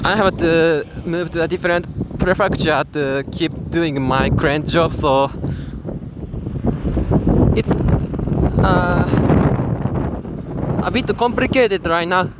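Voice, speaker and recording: A man's voice: a little high, slow, pauses often. A young man speaking English as a second language with a Japanese accent, talking casually and hesitantly, with many fillers and restarts, outdoors. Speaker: gamer. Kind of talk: casual spoken commentary. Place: outdoors in strong wind. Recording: loud wind noise on the mic.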